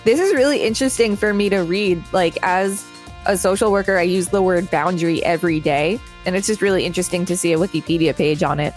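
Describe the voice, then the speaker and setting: A woman's voice: fairly low pitch, fast, soft background music. A woman co-hosting a podcast, reading reference text aloud with casual commentary. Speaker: podcast co-host.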